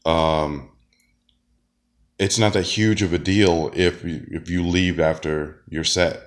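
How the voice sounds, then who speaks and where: A man's voice: low-pitched, steady tone, takes it slowly. A man who is sick, talking in a rambling casual monologue with many fillers and restarts, sometimes coughing. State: sick, deep voice. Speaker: wrestler and comedian.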